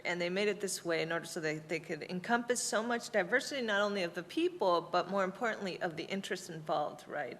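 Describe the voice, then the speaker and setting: A woman's voice: lowish voice, fairly bright tone, a little hiss or hum. A woman researcher on an academic panel, explaining her work at length, thoughtful, with frequent fillers and restarts. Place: lecture hall. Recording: long hall reverb.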